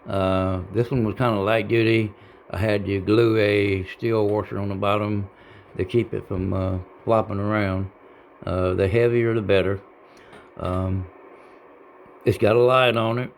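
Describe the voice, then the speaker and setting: A man giving a casual, unscripted how-to demonstration in a halting, folksy, plain-spoken way, as a hobbyist. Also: on the low side, fairly even intonation, slight background noise. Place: indoor home radio room.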